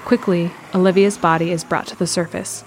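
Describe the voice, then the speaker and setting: A woman reading scripted narration for an audio drama in a measured, descriptive way. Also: fairly low pitch, steady tone, slight background noise.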